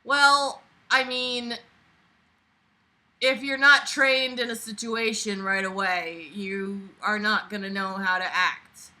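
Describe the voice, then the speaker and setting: A woman with a very bright, piercing voice reads a book aloud for an online audience. She comments as she goes in a sarcastic, exasperated and freely swearing manner. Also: medium pitch, steady tone, slow pace, rough recording. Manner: sarcastic, exasperated, foul-mouthed.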